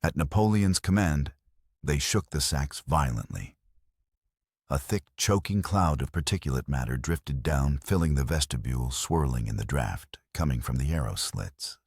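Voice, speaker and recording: A man's voice: very deep voice, animated, moderate pace, darkish tone, breathy edge, no echo, studio quality. A man narrating a scripted story in a dramatic audiobook style.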